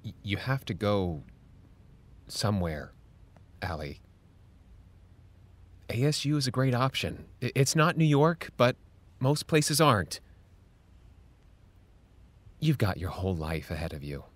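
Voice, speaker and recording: An actor playing an earnest, gently pushy adult, speaking in a measured, reassuring, slightly hesitant way in an audio drama. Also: male voice, fairly low pitch, sing-song. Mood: reassuring, insistent. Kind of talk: naturalistic audio drama dialogue.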